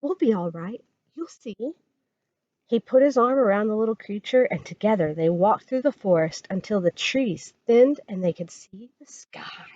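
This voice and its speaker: A woman reading a children's story aloud as a storytime reader, expressive and dramatic. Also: mid-range voice, moderate pace, hardly any pauses, lo-fi sound.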